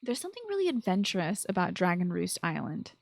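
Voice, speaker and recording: A woman hosting a solo podcast, reading scripted commentary with expressive, enthusiastic asides. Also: lowish voice, fast, gravelly, faint music behind. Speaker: podcast host.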